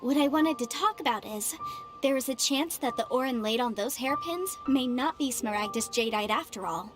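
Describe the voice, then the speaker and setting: A woman's voice: fairly high pitch, lively intonation, moderate pace, close to an everyday voice. A woman playing a confident, shrewd young legal adviser in a video game, speaking in articulate, formal phrases. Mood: confident, explanatory. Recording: game dialogue under loud background music. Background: game music.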